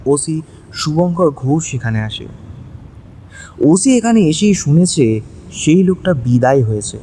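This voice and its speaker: Man, scripted story narrator, highish mellow voice, faint ambience behind. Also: slight background noise.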